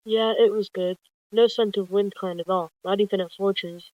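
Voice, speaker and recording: A storyteller voicing a calm, polite female cat who leads her clan in a roleplay story. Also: medium pitch, normal speed, no echo, lo-fi sound, natural voice. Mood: calm, courteous.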